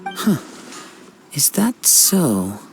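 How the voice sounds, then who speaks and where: An actor playing a calm, gentle young samurai in a video game, composed and formal in speech. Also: male voice, a little high, very slow, vocal fry, heavily acted. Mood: thoughtful. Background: music.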